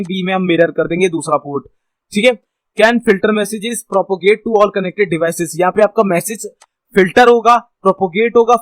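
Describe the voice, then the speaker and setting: A man's voice: high voice, no room sound. An Indian man giving an informal tutorial, reading out points and then explaining them, often checking that the listener follows and mixing in English technical terms. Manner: explanatory, repeatedly checking understanding with tag phrases. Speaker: instructor, tech tutorial host.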